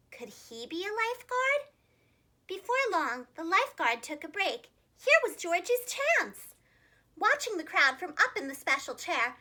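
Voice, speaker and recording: A woman reading a children's storybook aloud in an expressive, carefully enunciated preschool-teacher style. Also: sits high.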